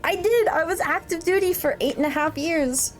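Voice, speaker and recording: A woman's voice: very high-pitched, moderate pace. A woman in her thirties, a military veteran, chatting casually and telling stories on a livestream, laughing often. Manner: chatty and rambling, laughs often, with frequent fillers. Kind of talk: casual livestream chat and storytelling.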